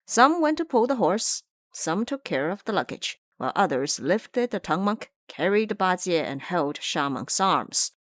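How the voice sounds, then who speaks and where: A woman narrating a scripted audio drama in an expressive, theatrical storytelling manner. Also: on the low side, measured pace, few pauses, no room sound, studio quality.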